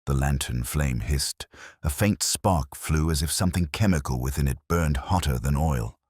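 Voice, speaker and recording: A man's voice: rumbling low, normal speed, darkish tone, breathy edge, no echo, clean studio sound. A man reading a scripted mystery story as a narrator, solemn and suspenseful in his dramatic delivery. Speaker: audiobook narrator.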